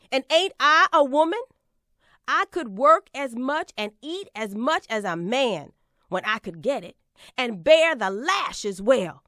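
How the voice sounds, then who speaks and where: A woman's voice: high voice, bright, forceful tone, no room sound, studio quality, heavily acted. A woman performing a fierce aging orator. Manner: folksy rhetorical questions, rising to emphatic refrains. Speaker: reader performing a historical speech. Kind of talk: dramatic recitation of an 1851 speech.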